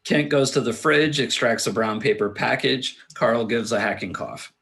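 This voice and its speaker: Male table-read narrator: average pitch, halting, plain delivery of stage directions. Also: creaky, a bit bright. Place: remote video call.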